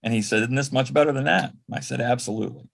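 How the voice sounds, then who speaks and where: A man's voice: medium pitch, racing, creaky, fairly bright tone. A man telling anecdotes as an interview guest in a casual, conversational way, often quoting dialogue as he reminisces. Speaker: interview guest, former personal assistant to an entertainer.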